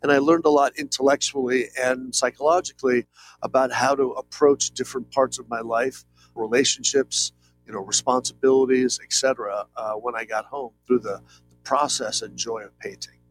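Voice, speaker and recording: A man's voice: medium pitch, fairly even intonation, moderate pace, rough recording. Late-50s male guest, earnest and rambling, plenty of fillers; faint background music. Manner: earnest, rambling, many fillers and "if you will". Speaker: interview guest, painter. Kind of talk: reflective conversational interview.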